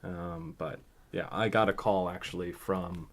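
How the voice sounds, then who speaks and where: A man speaking as a podcast guest, telling personal stories casually and thoughtfully, often pausing mid-sentence. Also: sits low, fairly even intonation. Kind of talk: casual, reflective personal storytelling.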